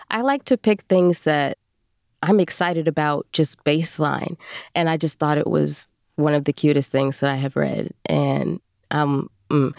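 A woman with a notably low voice chats as an interview guest. She is expressive and emphatic, and the recording is very dry, with hardly any room sound. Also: no room sound. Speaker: guest voice performer. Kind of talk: casual conversational interview.

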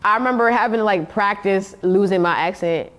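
A woman in her thirties, a podcast guest telling lively slang-filled stories in casual chat and laughing often. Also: medium pitch, brisk. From Nigeria.

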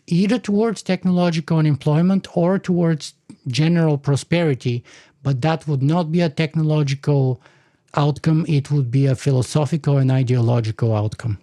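A man in his forties lays out his argument like a lecture, often restarting his sentences. His voice sits a little high and sounds mellow. It is recorded dry, with no room echo. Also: moderate pace. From Bulgaria. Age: 40s. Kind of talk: conversational podcast discussion.

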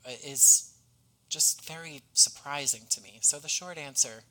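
Guy guest on a podcast, bright forceful voice, kinda high for a man, earnest and effusive chat. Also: unhurried, low-quality recording. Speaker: podcast guest, audio drama writer and actor. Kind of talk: conversational interview.

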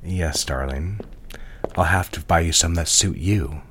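Very deep-voiced, possessive male lover played by an actor. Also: a bit bright, slight background noise. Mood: intimate. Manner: measured, directive, intimate murmuring. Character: possessive, jealous, controlling male lover, smooth and commanding. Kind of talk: audio drama acting.

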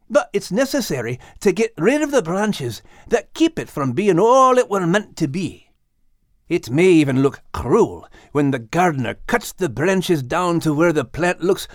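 A man's voice: sits high, very animated, a little slow, no echo, studio quality, voice pushed up. A man voicing a plain-spoken, kindly male dog with a Scottish accent and a folksy manner, as in an audiobook. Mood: gentle, consoling. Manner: folksy, blunt. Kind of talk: audiobook character acting.

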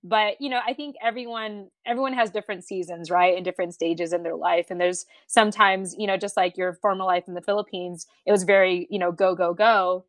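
A woman's voice: fairly low pitch, steady tone, a little fast, few pauses, no echo. A woman hosting a podcast, chatting in an agreeable, reflective way with small restarts. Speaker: podcast host. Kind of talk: casual chat.

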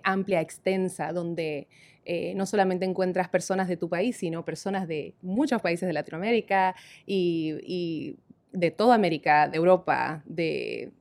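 Enthusiastic worship leader. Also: female voice, fairly low pitch, darkish tone. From Argentina. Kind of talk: casual podcast conversation.

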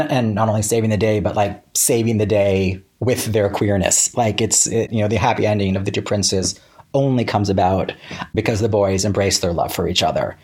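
An American man with a lowish, slightly rough-edged voice speaks as a guest in a casual interview. He restarts and corrects himself often as he works through his thoughts. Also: a little mellow. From Central Florida, United States.

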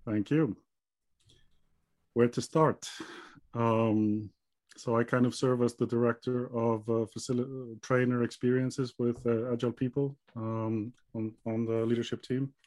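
A man's voice: mid-range voice, level tone, measured pace, a little mellow, dry recording. A man from Iceland in his thirties, answering interview questions in a hesitant way, with many ums and restarts. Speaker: guest.

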